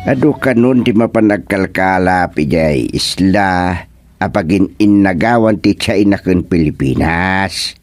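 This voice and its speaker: A man's voice: low-pitched, a little slow, a little mellow, soft background music. An actor playing a stubborn, reassuring older fisherman father with an Ilocano accent in a radio drama comedy. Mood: reassuring, dismissive.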